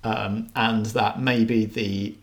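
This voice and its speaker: A man's voice: lowish voice, level tone, drawn-out words. A man co-hosting a podcast, giving a casual film review in a hesitant way, circling and correcting his phrasing. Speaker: podcast co-host reviewing films.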